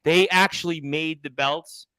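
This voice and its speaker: A talkative man hosts a wrestling chat show, his voice sitting a little high for a man. He rambles and circles back on his questions. The sound is dry and close, with no room around it. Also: fairly even intonation, measured pace, bright, forceful tone, smooth, no echo. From Brooklyn, New York. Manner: chatty, rambling, repeats himself. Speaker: radio show host. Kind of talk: casual interview chat.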